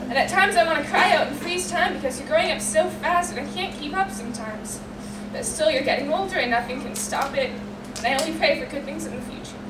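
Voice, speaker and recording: A young woman in her late teens earnestly reading her own heartfelt poem aloud at a school poetry reading. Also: high-pitched. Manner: earnest and heartfelt, emotional, reads in long run-on lines. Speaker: student poet. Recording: echoey room, distant mic, loud ambient noise.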